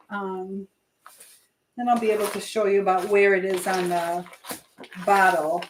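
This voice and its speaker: A woman talks informally to her live-stream audience while working in her kitchen. Her delivery is chatty and meandering, drifting between what she is making and the people in the chat. Her voice sits at an ordinary middle pitch for a woman. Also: a little hiss or hum, poor audio. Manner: chatty, meandering, greets viewers by name. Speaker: livestream host. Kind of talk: casual live-stream chat while cooking, reading out comments.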